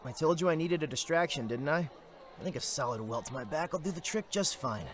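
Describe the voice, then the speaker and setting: A man's voice: a little high, expressive, fairly quick, lightly acted. A man playing a proud, good-humoured younger brother in casual sibling banter for a fantasy audio drama. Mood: wry.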